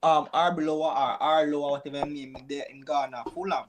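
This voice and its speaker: A man's voice: fairly high pitch, fairly even intonation, a little fast, over a noisy crowd. A young man with a Jamaican accent co-hosting a football podcast, chatty and joking as he chimes in. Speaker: podcast co-host. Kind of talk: casual football chat. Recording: noisy background hiss.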